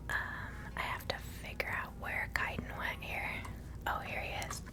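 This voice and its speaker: Female ASMR gamer: soft breathy whisper throughout, gentle, thinking aloud over gameplay. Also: normal speed. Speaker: host playing a video game.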